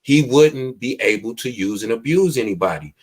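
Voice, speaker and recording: A man with a deep voice talks with emphatic, preachy conviction in a casual podcast. He projects loudly on purpose so his low voice does not blur. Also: lively intonation, moderate pace, breathy edge. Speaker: podcast host.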